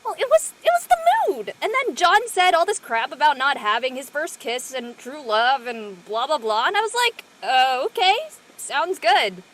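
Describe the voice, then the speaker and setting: An actress playing a brash, outspoken teenage girl in a casual, slangy, expressive drama performance. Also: high voice, moderate pace, few pauses, rough recording. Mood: awkward, dismissive. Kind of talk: casual scripted drama dialogue.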